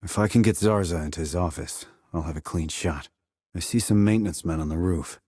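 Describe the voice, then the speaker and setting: An actor playing a terse, dry, confident military sniper in a video game, with a husky voice and clipped, controlled reports. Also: male voice, low voice, fairly quick, mellow, dry recording. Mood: focused. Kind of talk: scripted military game dialogue.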